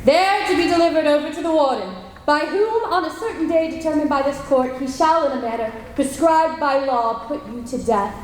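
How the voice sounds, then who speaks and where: A woman's voice: high-pitched, moderate pace, hardly any pauses, a little hiss or hum, lightly acted. A woman playing a stern judge who reads out a death sentence formally and solemnly in a live radio play. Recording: echoey hall with long reverb.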